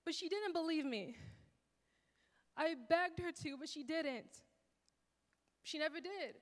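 Teen girl, high bright voice, earnest rhythmic spoken word, reverberant church hall. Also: takes it slowly. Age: teens. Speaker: teen performer. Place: church stage, large hall. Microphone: handheld mic.

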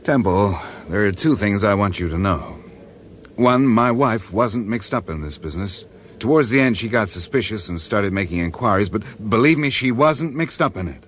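An actor playing a cornered American crime boss and proud gambler in a radio crime drama, halting and stammering under pressure. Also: male voice, on the low side, animated, fairly bright tone, slight background noise. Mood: resigned.

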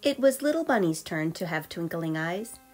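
A low-voiced woman reads a picture book to young children. She is expressive and measured in her phrasing, and soft music plays underneath. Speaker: storybook reader. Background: soft background music.

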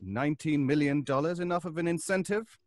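An actor playing a coolly polite, patronising henchman in a horror audio drama, measured and courteous. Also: a little high, a little mellow, no room sound, studio quality, close to an everyday voice. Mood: politely commanding. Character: coolly polite, condescending male henchman lawyer.

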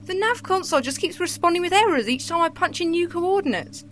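A woman playing a brisk, impatient Time Lady companion with a crisp, sardonic way of speaking. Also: extremely high pitch, animated, fairly bright tone, a little hiss or hum.

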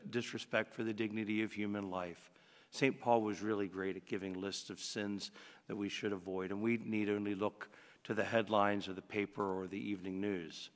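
A middle-aged priest speaks from a lectern microphone, preaching in an earnest, conversational way. His voice sits on the low side. The sound carries the long reverb of a large church. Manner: earnest, conversational preaching with frequent "you know". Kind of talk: homily at Mass.

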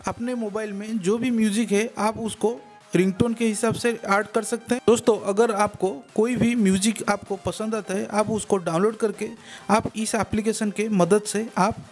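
A man's voice: sits high, steady tone, moderate pace. A man giving a step-by-step tech tutorial voice-over, repeating himself and addressing listeners as friends. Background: background music.

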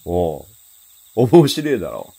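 An actor playing a boastful, short-tempered teenage delinquent in a Japanese radio drama, drawling in thuggish slang with a husky voice. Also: male voice, deep voice, wildly swooping pitch, slow pace, mellow, full character voice.

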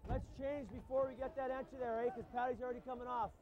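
A hockey coach shouting short instructions and encouragement to his players from the bench, recorded on a worn mic in an arena. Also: very high voice, level tone, fast talker. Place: indoor ice arena bench. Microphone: wireless mic worn on the body. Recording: dull lo-fi body mic.

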